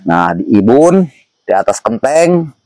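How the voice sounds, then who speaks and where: A man's voice: mid-range voice, sing-song, takes it slowly, darkish tone, dry recording, clean studio sound. A man, explaining folk remedies casually as an interview guest, repeating himself and often checking in with short tags. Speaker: interview guest sharing traditional herbal remedies.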